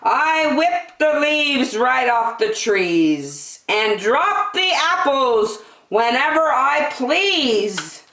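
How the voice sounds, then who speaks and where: High-pitched bossy wind spirit acted by a woman. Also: lively intonation, drawn-out words, fairly bright tone, heavily acted. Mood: exasperated, boastful. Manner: scolding, insistent, singsong storybook delivery. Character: bossy female autumn wind, exasperated and boastful. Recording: roomy, echoing sound.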